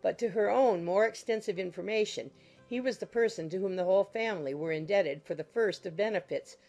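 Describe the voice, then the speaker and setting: A woman reading a classic novel as an audiobook narrator, in measured, formal storytelling style. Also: mid-range voice, darkish tone. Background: music under the voice.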